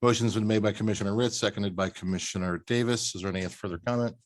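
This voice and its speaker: A man chairing a town board meeting, running through the minutes and votes in a brisk procedural way with fillers. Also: fairly low pitch, fairly even intonation, a little hiss or hum.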